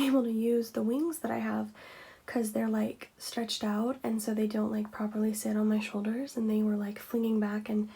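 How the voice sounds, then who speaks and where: Creaky-voiced, higher-pitched woman chatting casually. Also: dark tone. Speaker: ASMR video creator and vlogger. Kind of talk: casual behind-the-scenes vlog chat.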